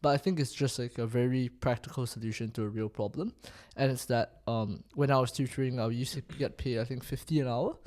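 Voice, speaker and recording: A man's voice: medium pitch, steady tone, brisk, few pauses, darkish tone. A man in his twenties from Singapore, chatting casually as a podcast guest and thinking aloud with lots of fillers. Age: 20s. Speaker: guest, student entrepreneur. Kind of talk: casual interview chat.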